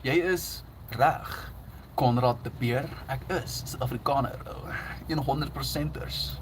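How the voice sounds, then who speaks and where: A man's voice: mid-range voice, unhurried, slight background noise. A man chatting casually on his livestream, laughing often and reading out chat messages.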